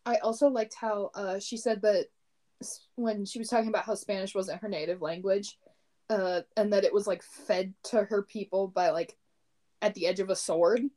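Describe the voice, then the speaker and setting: A young woman discussing poems casually, her voice mellow and warm. She speaks softly, with lots of "like," and keeps the talk going with quiet agreement. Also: medium pitch, fairly even intonation, moderate pace. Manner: soft-spoken, frequent murmured agreement. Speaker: co-host discussing poetry. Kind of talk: casual chat.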